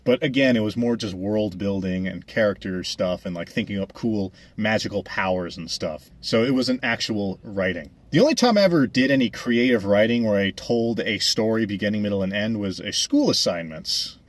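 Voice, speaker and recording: A man's voice: lowish voice, lively intonation, normal speed, hardly any pauses. A young adult man talking casually over a speedpaint video, chatty and rambling with many fillers. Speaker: animator and vlogger.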